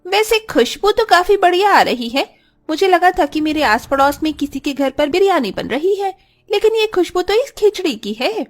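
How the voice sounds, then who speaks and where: A woman playing a friendly, chatty visitor in a Hindi cartoon story, with an animated way of talking. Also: very high voice, normal speed, soft background music. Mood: delighted. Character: friendly, chatty female visitor in a village household.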